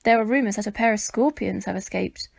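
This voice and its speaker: A woman voicing a brisk, bossy, teasing circus man in a children's storybook. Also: medium pitch, vocal fry, breathy edge, no echo.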